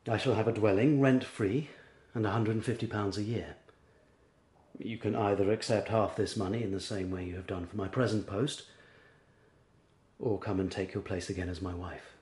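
A man as a proud, low-voiced estranged husband. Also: fairly even intonation, normal speed, a little mellow, natural voice. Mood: cold, demanding. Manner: formal, demanding, cold. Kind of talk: period radio drama acting. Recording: echoey room with music.

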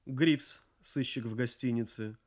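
A man's voice: medium pitch, steady tone, measured pace. A man formally reading scripted credits as a radio announcer.

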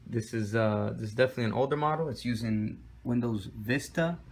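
A man's voice: medium pitch, fairly quick. Man, tech how-to host, easygoing with plenty of "uh"s, phone-recorded with faint background noise. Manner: relaxed, step-by-step with frequent fillers. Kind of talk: casual screen-recorded tutorial. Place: indoor room with desk and computer.

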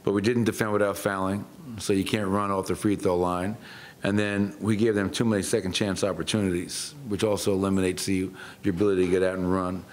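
A middle-aged man, a head coach, answering questions at a post-game press conference in a measured, matter-of-fact way with frequent fillers. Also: deep voice, flat delivery, slight background noise. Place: press room.

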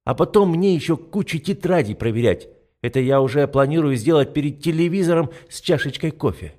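A man's voice: on the high side, lively intonation, a little fast, mellow, pitched above the performer's own voice, lightly acted. A man voicing a tired, irritable young woman schoolteacher who grumbles in an audiobook. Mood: weary. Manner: grumbling, snappy.

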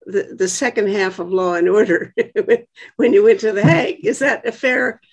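An elderly woman in her eighties interviewing someone on a video call, thinking through her questions in a halting, conversational way. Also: medium pitch. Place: dim book-lined room at home. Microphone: computer mic on a video call.

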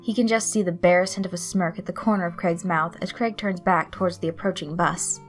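A woman reading fan fiction aloud in an expressive storytelling style. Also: lowish voice, a little fast. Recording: soft music behind the voice. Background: guitar loop, night insect sounds.

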